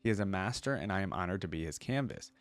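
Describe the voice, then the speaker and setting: Low-voiced man reading aloud, dry sound. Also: lively intonation, fast talker, no room sound. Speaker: reaction video host. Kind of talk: reading lore aloud with casual commentary.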